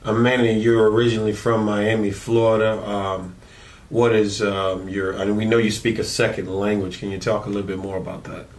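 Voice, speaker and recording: A man hosting an interview, asking questions in a halting way with many ums and uhs. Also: on the low side, flat delivery. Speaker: interviewer, show host.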